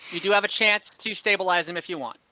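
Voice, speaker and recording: A man runs an online tabletop session as game master, working through rules aloud with frequent restarts and fillers. His voice is bright and a little high, and the dry home mic gives it a dull lo-fi edge. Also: quick pace, no echo. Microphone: home mic on an online call.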